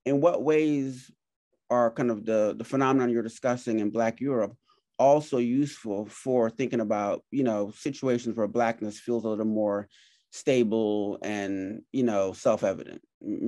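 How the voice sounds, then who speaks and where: A man's voice: fairly low pitch, fairly even intonation, normal speed, warm tone, no room sound. A middle-aged man from Jamaica, moderating an academic talk over a video call, thoughtful and full of fillers. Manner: thoughtful, many fillers and hedges. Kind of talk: academic conversation. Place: home office.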